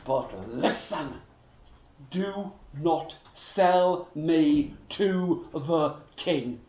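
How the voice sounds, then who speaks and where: A man doing a hushed, wise talking horse. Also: on the high side, drawn-out words, roomy sound, dull audio. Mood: instructing.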